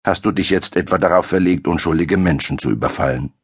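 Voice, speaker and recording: A man voicing a calm, wise alchemist with a mocking edge. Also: sits low, fairly quick, slightly rough. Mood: calm scornful.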